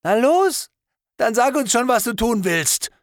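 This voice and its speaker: A man voicing a shrill, hysterical captive girl. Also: sing-song, a little slow, no room sound, clean studio sound, exaggerated voice. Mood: hysterical defiance. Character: soaked, weeping defiant young female captive. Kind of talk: audiobook reading.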